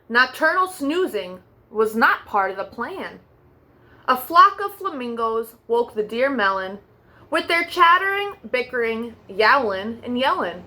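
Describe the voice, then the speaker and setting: Woman reading a picture book to kids, high voice, sing-song, expressive storytime host.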